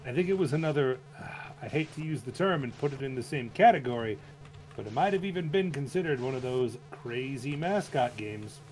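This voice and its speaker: A man's voice: medium pitch, lively intonation, a little fast, a little mellow, rough recording. A man chatting casually as a guest on a game stream, hesitant and agreeable. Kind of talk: casual chat. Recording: music playing faintly behind the voice.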